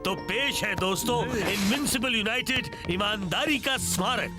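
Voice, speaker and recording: A man's voice: high voice, a little slow, over loud music. A man playing the irritable, scheming boss of a cheating football team in a cartoon, haughty and sarcastic. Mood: falsely sincere. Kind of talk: dubbed cartoon acting.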